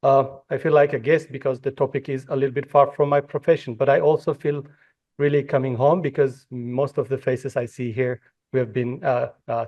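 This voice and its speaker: A man's voice: mid-range voice, darkish tone. A man from Ethiopia moderating a conference panel, speaking with frequent fillers and restarts. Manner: hesitant, many fillers and restarts. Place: conference hall.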